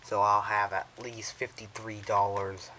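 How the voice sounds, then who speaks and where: A man's voice: lowish voice, level tone, a little slow, bright, forceful tone, a little hiss or hum. A man casually showing off a radio in an unboxing vlog, rambling as he handles it and filling gaps with "so" and "uh". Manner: rambling, talks while handling the device, many "so" and "uh". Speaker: radio collector showing his gear.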